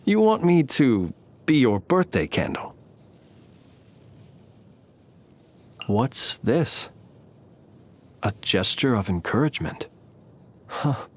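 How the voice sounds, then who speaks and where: A courtly, measured ancient gentleman soldier. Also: mid-range voice, very animated. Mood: formal, courteous. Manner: polite, formal, measured, wryly understated. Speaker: video game voice actor. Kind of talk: scripted game character voice lines.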